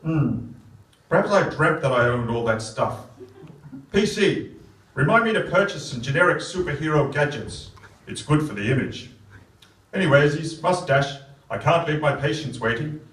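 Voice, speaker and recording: A man's voice: a little high, animated, moderate pace, a bit bright. A man playing a vain, self-important superhero who declaims grandly and earnestly in a live stage comedy. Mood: puzzled, grand. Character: vain, boastful, self-important male superhero with heroic airs. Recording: echoey theatre, distant microphone.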